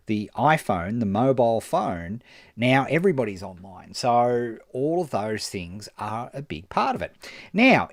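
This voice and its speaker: A man answering viewer questions on a live stream as a marketing coach, animated and emphatic, often restarting his sentences. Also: mid-range voice, dry recording, clean studio sound. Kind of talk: live-stream Q&A, casual explaining.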